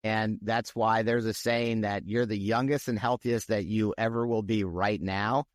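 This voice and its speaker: A man co-hosting a podcast, explaining things in a steady, slightly salesy way. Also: fairly low pitch, measured pace, no room sound, clean studio sound. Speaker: podcast co-host. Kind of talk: casual podcast discussion.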